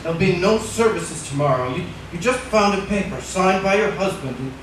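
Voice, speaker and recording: An actor playing a scheming, bossy husband in a 1940s-style radio drama, speaking in an insistent, domineering way. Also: male voice, high voice, lively intonation, moderate pace. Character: scheming, bossy husband faking his death for money. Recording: echoey, distant, noisy old radio recording.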